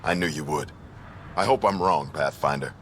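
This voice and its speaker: A man's voice: a bass voice, moderate pace, a little hiss or hum. A man playing a serious, terse leader of a frontier outpost in a video game. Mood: worried. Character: gruff, dutiful outpost leader, guarded and serious.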